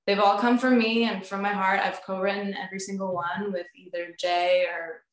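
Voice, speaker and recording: A young woman in her twenties, a singer, being interviewed over a video call from a room at home. She talks in a bubbly, animated way and laughs often. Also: medium pitch, normal speed. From United States, family from Crete. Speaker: guest, recording artist. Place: indoor room at home with instruments on the wall. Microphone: video call, webcam. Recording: roomy video call audio.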